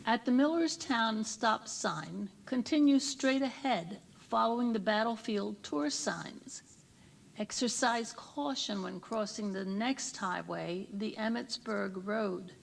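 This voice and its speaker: A woman's voice: on the high side, slow, gravelly. A woman reading scripted tour directions in a formal, precise manner. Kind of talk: scripted instructional narration.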